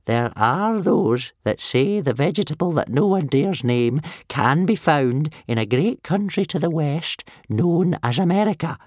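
A man voicing a gloomy, ancient village healer and soothsayer in a storybook, with a wheezy croak and a slow, muttering manner. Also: on the high side, expressive, darkish tone, dry recording, studio quality, voice pushed up. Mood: gloomy, ominous. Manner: slow, muttering, tut-tutting.

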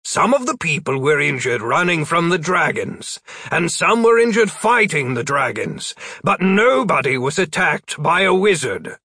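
A man's voice: high-pitched, very animated, unhurried, pushed and bright, husky, dry recording, clean studio sound, pitched above the performer's own voice, full character voice. A man voicing a flustered adult male wizard who tries to sound firm while explaining himself. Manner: earnest, trying to sound firm.

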